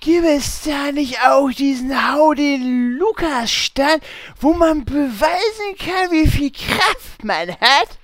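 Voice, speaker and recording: A woman's voice: sits high, lively intonation, slow, few pauses, no room sound. A woman playing Horst's part in a parody audio drama, a childish, naive and easily confused sidekick with a whiny, hesitant delivery. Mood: eager. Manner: whiny, hesitant, simple-minded.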